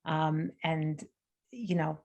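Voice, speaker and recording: A woman's voice: deep voice, drawn-out words, vocal fry, darkish tone. A middle-aged American woman, a guest in a conversational interview, talking freely with many fillers and restarts. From United States. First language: Armenian. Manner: chatty, frequent fillers and restarts.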